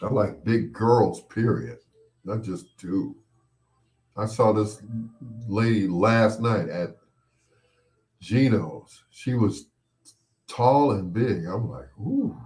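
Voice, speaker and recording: A man's voice: fairly low pitch, takes it slowly, long pauses, darkish tone. A man hosting a personal storytelling show in a casual, rambling, playful way, sometimes acting out what people said. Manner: playful, acts out quoted voices.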